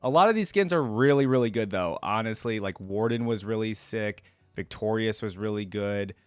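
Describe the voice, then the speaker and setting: A man hosting a casual online reaction video, reading wiki text aloud and thinking out loud in an informal way. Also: on the low side, moderate pace, clean tone, no room sound. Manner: informal, thinking aloud between read-out passages. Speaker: YouTube host reacting to game lore.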